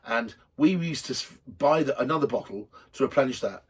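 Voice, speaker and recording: A middle-aged man with a slightly husky voice hosting a drinks show in a rambling, jokey, blunt and sweary unscripted chat to camera. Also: mid-range voice, animated, a little fast. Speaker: host of a drinks video show.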